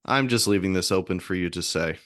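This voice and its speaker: Deep-voiced American man, rambling interviewer. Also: a little fast, fairly bright tone, dry recording, clean studio sound. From southern United States. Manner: rambling, hesitant, self-correcting; extroverted. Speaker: podcast host, music theorist. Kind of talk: conversational interviewing.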